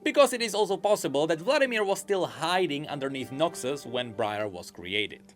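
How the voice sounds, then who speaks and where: Guy narrating game lore, voice jumps up and down a lot, kinda highish, quiet music playing. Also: normal speed. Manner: animated, explanatory. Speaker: video essayist on game lore. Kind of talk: scripted commentary.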